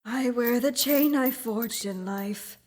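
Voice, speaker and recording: An actor voicing the mournful ghost of an old businessman bound in chains, in a student radio drama, speaking solemnly and dropping into breathy whispers. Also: very high-pitched, takes it slowly, a little mellow, full character voice.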